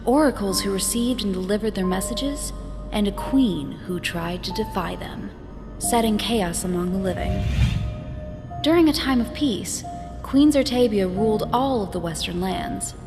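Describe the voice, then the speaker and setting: A woman narrator telling a myth in a solemn, scripted storytelling style. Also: mid-range voice. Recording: long reverb, music behind.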